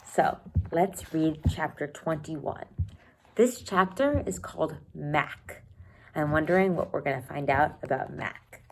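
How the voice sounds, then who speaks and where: A woman teacher reading a children's novel aloud, expressively and deliberately, one phrase at a time. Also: low voice, a little mellow, slight background noise, poor audio.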